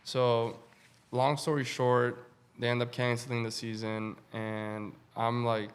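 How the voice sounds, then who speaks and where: A relaxed young man in his twenties speaks in an even, level tone with little rise or fall in pitch. His talk is easygoing and conversational, with lots of "man" and "like" along the way, the way a guest chats on a podcast. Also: takes it slowly, smooth. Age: 20s. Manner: laid-back, peppers speech with "man" and "like". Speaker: professional baseball player, guest. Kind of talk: casual interview talk.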